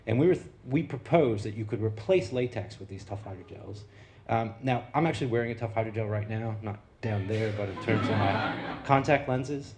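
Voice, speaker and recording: A man's voice: lowish voice, a little mellow. An American man, a researcher, giving a conversational public talk with slides, full of fillers and restarts. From United States.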